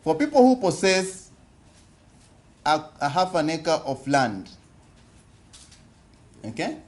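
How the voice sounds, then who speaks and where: A Kenyan man with a fairly high voice addresses a room at a business forum. He speaks slowly and deliberately, putting questions to the audience and going back over his points. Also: very animated. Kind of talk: interactive seminar talk. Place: conference hall.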